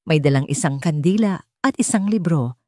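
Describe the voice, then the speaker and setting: A woman's voice: low voice, expressive, moderate pace, darkish tone, dry recording, studio quality. A woman with a Filipino accent reading a scripted romance story as an audiobook narrator.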